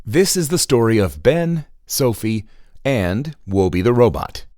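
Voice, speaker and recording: A man's voice: mid-range voice, sing-song, unhurried, a little mellow, no room sound, studio quality. A man playing a genial but easily exasperated storyteller, narrating theatrically for children. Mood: storytelling.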